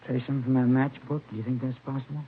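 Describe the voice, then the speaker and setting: An actor playing a shaken storekeeper in a 1950s radio crime drama, halting, polite and uncertain, with a gravelly voice. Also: male voice, medium pitch, flat delivery, narrow, tinny audio, close to an everyday voice. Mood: subdued, uncertain. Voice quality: gravelly, creaky. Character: shaken, hesitant male storekeeper, holdup victim. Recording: thin, faintly noisy vintage radio recording.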